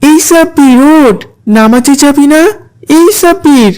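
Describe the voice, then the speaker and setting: A woman's voice: high-pitched, a little slow, darkish tone. A woman voicing an earnest, polite young schoolboy in a children's moral cartoon. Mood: urging.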